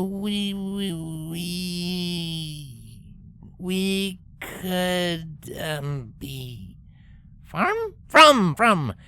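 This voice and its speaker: Woman acting a brash low-voiced cartoon pegasus tomboy. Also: creaky, pushed and bright, clean tone, no echo, full character voice. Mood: halting, straining. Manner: slangy, cocky, grumbling. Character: brash, sulky young female cartoon pegasus, cool-obsessed tomboy. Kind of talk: character acting in a fan-fiction story reading.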